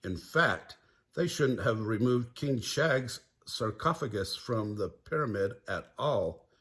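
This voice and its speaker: A man voicing a smug aristocratic Egyptologist in a low, hushed, haughty tone. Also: animated, slow. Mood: ominous.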